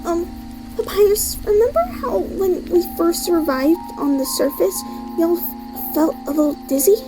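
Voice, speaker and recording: A woman voicing a nervous female monster scientist in a fan comic dub, timid and halting with a stammer. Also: expressive, over music, poor audio. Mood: anxious, explaining. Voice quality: very high-pitched, girlish.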